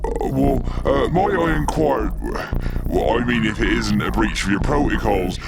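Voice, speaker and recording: A man's voice: mid-range voice, normal speed, vocal fry, over loud music, lightly acted. An actor playing a flustered alien military man in a comedic sci-fi audio drama, stammering and blustering as he talks. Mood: nervous, servile. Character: alien military men, pompous blustering captain and downtrodden servile assistant.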